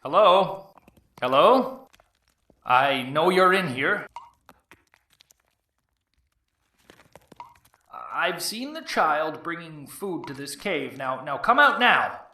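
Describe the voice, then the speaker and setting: Casting: courteous but nervy gentleman sleuth for audio drama; bright voice, lively pitch, halting stammer. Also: heavily acted. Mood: nervous, challenging. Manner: hesitant, stammering, polite. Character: Doctor Watson, polite, nervous gentleman investigator.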